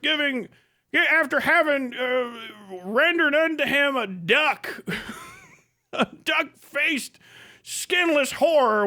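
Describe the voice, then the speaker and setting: A man voicing a solemn old-time chronicler, telling a wretched legend in a deliberate, pompous, mock-grand comedy style. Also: extremely high pitch, sharp tone, exaggerated voice.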